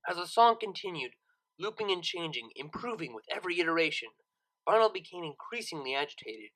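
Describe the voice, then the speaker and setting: Audiobook narrator, mid-pitched, bright and forceful, dramatic deliberate phrasing. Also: sing-song, poor audio. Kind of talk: expressive scripted story narration.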